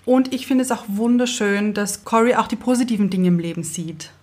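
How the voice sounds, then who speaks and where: A woman's voice: medium pitch, fairly quick, darkish tone. A woman co-hosting a podcast, chatting casually and telling personal anecdotes. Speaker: podcast co-host.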